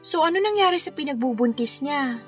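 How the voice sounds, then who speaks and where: Sassy Filipina career woman, high voice, teasing, exclamatory, radio drama, light music underneath. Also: expressive, fairly quick. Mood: probing. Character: sassy, playful career woman wedding planner, concerned confidante. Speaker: actress in a radio love-story drama.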